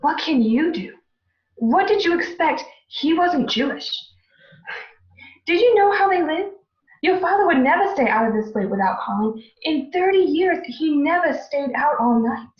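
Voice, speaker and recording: A woman's voice: high-pitched, expressive, normal speed. A woman acting a nagging, indignant middle-aged mother in a radio play, scolding and fretting in pointed questions. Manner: scolding, fretful, rhetorical questioning. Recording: roomy, dull home recording.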